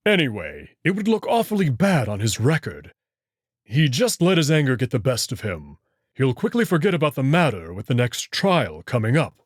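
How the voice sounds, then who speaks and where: Man voicing Amicus, an expressive, proud alien prince. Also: medium pitch, husky, no echo, clean studio sound. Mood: protective, serious. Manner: assured, measured, formal phrasing. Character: Amicus, proud protective furry alien prince, an older brother. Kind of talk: visual novel character acting.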